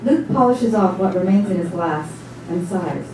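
A woman playing the storyteller in a staged dramatic reading, narrating in a measured, literary way. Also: on the low side, expressive, close to an everyday voice. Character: observant storyteller narrating a bar scene. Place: small stage before a seated audience. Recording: very echoey hall, distant mic, faint noise.

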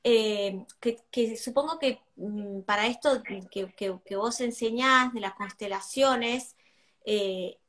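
A woman's voice: a little high, slow pace, fairly bright tone. A woman in her fifties with an Argentine Spanish accent chats casually as a guest, searching for words and repeating phrases. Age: 50s. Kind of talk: casual chat. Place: room at home. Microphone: video call.

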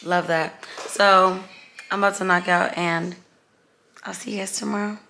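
A woman's voice: mid-range voice, fairly even intonation, a little slow, creaky, bright, forceful tone, a little hiss or hum. A woman in her twenties from Dallas, vlogging casually to a handheld camera and chatting with her viewers. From Dallas, Texas, USA. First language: Nigerian family, raised in Dallas. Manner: chatty, upbeat, addresses viewers as y'all. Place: airport terminal indoors, then apartment living room. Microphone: handheld camera at arm's length.

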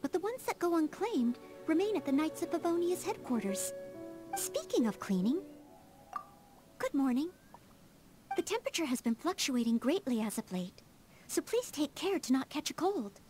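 A woman's voice: high-pitched, big pitch swings, normal speed, dark tone. A woman playing an eager, polite young maid in a video game, earnest and humble, with a formal, dutiful, slightly flustered manner. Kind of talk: video game character dialogue. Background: faint game music.

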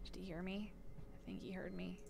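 A woman's voice: fairly low pitch, normal speed. A woman giving casual live commentary as she plays a game, reacting and often muttering to herself. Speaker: game streamer. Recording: clear background noise, game ambience.

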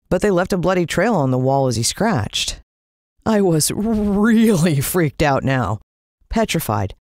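Expressive low-voiced American woman telling a story. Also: dry recording, studio quality. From United States. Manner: expressive, suspenseful storytelling with drawn-out words. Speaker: audiobook narrator. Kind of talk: dramatic scripted story narration.

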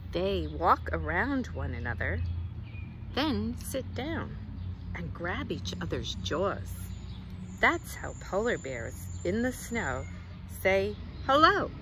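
A middle-aged woman reads a picture book aloud to children outdoors, with expressive, deliberate phrasing. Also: medium pitch, background noise. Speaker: children's librarian, storytime reader. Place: outdoors in a leafy garden.

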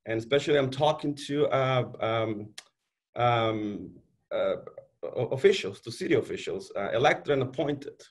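Mexican-accented city official, thirties, mid-range voice, talks emphatically through a webcam. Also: male voice, mid-range voice, normal speed. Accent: Mexican Spanish. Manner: emphatic, repeats words, many fillers. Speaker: city community development director. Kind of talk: conference panel presentation. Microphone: webcam on video call.

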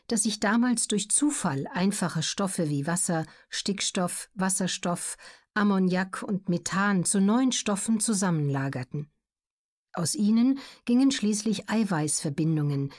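Woman reading a measured educational audiobook. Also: lowish voice, a little mellow. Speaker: audiobook narrator. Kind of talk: scripted educational narration.

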